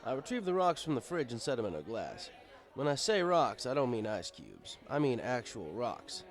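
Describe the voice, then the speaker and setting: A man's voice: mid-range voice, big pitch swings, moderate pace, soft background music. A man reading a first-person story as scripted narration, in a wry, conversational manner. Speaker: story reader.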